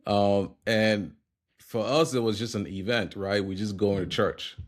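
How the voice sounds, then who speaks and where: A man in his thirties from Liberia, guest on an interview, talking in a relaxed, reflective way with many pauses and fillers. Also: lowish voice. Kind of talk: casual conversational interview.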